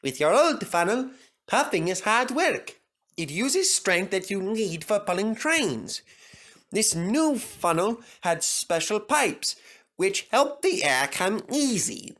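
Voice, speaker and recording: Man as an earnest, high-pitched railway official. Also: sing-song, slow pace, a bit bright, full character voice. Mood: enthusiastic, instructive. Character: eager, official railway gentleman explaining a new invention.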